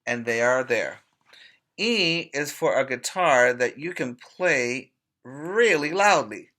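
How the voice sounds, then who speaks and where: A man teaching preschoolers by reading aloud in a deliberate, emphatic way, pausing to put questions to his young listeners. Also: mid-range voice, animated, bright, forceful tone. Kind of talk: read-aloud lesson for young children.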